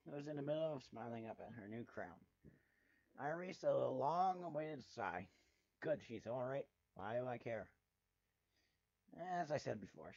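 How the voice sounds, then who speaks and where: A man's voice: mid-range voice, darkish tone, no room sound. Sardonic male story reader, slightly dull sound. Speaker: fan fiction reader. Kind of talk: dramatic first-person story narration.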